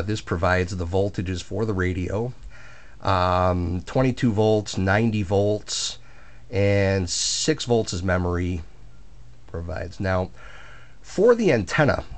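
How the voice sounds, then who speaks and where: A man with a fairly low voice talks through a hobby project in a casual, plainspoken way. He explains things step by step, like someone showing off home-built work. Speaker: hobbyist restorer of antique radios. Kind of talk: casual demonstration narration.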